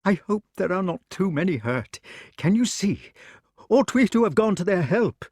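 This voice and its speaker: A man voicing an excitable, fretful old king who cries out in a high, breathless voice. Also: moderate pace, dry recording, clean studio sound, exaggerated voice. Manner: high-pitched, breathless, exclaiming.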